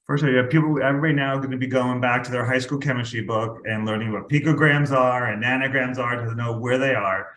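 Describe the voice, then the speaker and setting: A man's voice: medium pitch, steady tone, fast talker, few pauses, a bit bright, echoey room, slight background noise. A male podcast host asking a guest questions in a rambling, casual way. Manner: rambling, restarts questions, laughs lightly. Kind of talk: interview podcast, conversational questions. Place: New York.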